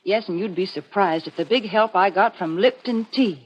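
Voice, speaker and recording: A woman's voice: mid-range voice, normal speed. A woman in 1940s radio advertising style, bantering as a homey, mildly scolding spokeswoman. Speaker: commercial spokeswoman. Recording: dull old radio recording.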